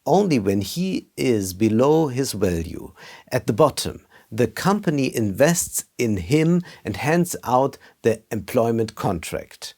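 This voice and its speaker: A man presenting a scripted video essay as a film critic, with measured, careful phrasing. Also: medium pitch, sing-song, a little mellow.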